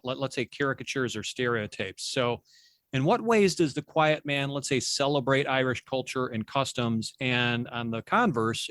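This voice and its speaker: A man hosting a podcast, asking questions in a measured, conversational way with small asides. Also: medium pitch, a bit bright, dry recording. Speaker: podcast host. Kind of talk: conversational interviewing.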